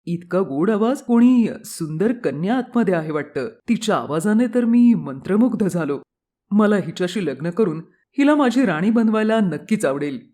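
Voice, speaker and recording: An entitled, lustful storybook king, acted by a man. His voice is notably high for a man, and he talks in an imperious, theatrical way, used to being obeyed. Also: expressive, normal speed, darkish tone. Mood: smitten. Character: arrogant, lustful king out hunting. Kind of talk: storybook character acting.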